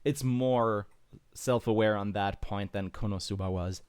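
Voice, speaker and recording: A man's voice: lowish voice, measured pace, a little mellow, smooth. A man chatting casually as a podcast host, emphatic and full of swearing.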